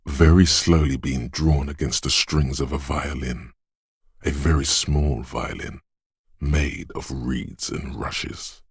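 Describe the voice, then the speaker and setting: An actor voicing a disembodied male inner sense of perception in a game, speaking in a hushed, measured, quietly descriptive way in the second person. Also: extremely low pitch, husky, dry recording, studio quality, heavily acted.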